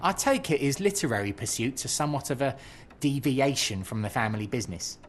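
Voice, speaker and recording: Actor as flustered, bookish man, very animated. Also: mid-range voice, brisk, a little hiss or hum, close to an everyday voice. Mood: eager, helpful. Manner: hesitant, stammering, courteous, excitable. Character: eager, nervous, bookish man, polite and helpful. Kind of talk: scripted video game cutscene acting.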